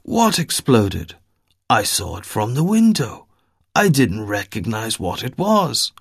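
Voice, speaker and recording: A man voicing a polite young man who has lost his memory, speaking gently and pleadingly. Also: medium pitch, big pitch swings, takes it slowly, husky, no room sound, clean studio sound, full character voice.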